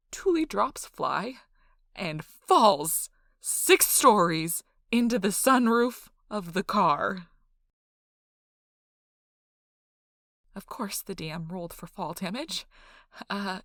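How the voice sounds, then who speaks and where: A woman playing an excitable, nerdy young girlfriend who rambles casually about her tabletop game in an animated ASMR role-play. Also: mid-range voice, no room sound, studio quality. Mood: thrilled. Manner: animated, breathless emphasis, frequent "like" asides.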